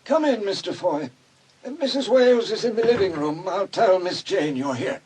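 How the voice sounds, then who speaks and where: An actor playing an elderly, formal butler in an audio drama, husky and politely deferential. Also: male voice, high-pitched, lively intonation, darkish tone. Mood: formal, courteous. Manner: polite, measured servant's address.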